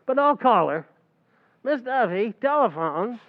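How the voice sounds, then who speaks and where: A man's voice: extremely high pitch, very animated, measured pace, full character voice. A man playing a brash, know-it-all bartender, mangling big words in an old-time radio comedy recreation. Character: fast-talking, know-it-all New York bartender Archie. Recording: dull, lo-fi sound.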